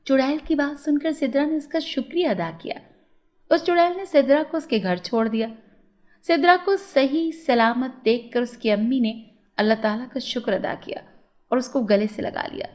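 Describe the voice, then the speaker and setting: A woman tells a scripted story for children. Her voice is somewhat high, and she works as the narrator of a moral tale. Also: moderate pace, a little mellow.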